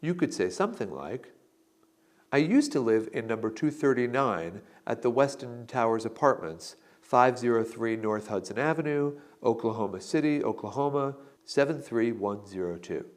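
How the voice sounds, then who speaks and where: A man narrating an English lesson, reading out model answers slowly and deliberately. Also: medium pitch, lively intonation. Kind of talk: slow instructional model answers.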